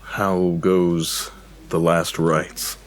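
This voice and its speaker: Male actor as wise fantasy leader: low, unhurried, solemn, steadfast, compassionate. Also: flat delivery. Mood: reverent. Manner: solemn, measured, formal. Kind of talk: fantasy audio drama acting.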